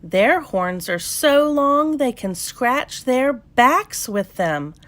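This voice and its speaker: Woman voicing a wistful, slow-talking little girl goat. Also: high-pitched, expressive, a bit bright, pitched above the performer's own voice, exaggerated voice. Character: young female goat kid, wistful and eager.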